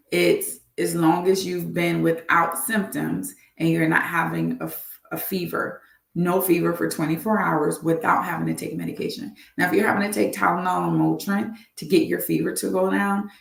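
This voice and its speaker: Lady doctor doing a live health talk, deep-ish gravelly voice, kinda roomy echo. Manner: earnest, repeats advice for emphasis. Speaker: doctor hosting a health show.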